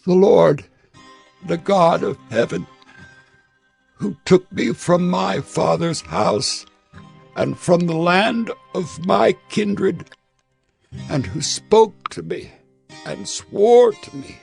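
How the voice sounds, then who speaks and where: An actor playing an aged, devout patriarch in a dramatized scripture recording, with a creaky voice and solemn, earnest delivery. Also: male voice, high-pitched, animated, a little mellow, soft background music, exaggerated voice. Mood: solemn, grave. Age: older. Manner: slow, weighty, pleading delivery. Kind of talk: dramatized scripture audio acting.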